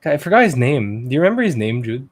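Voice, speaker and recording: A man with a Filipino accent co-hosting a casual podcast, talking loosely and thinking aloud with pauses. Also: mid-range voice, sing-song. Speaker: podcast co-host. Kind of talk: casual pop culture podcast chat.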